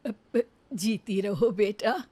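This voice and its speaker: A woman voicing a humble, forgiving older village mother-in-law with a gentle manner, in an animated moral story. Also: medium pitch, extremely slow, husky, no room sound. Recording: music under the voice.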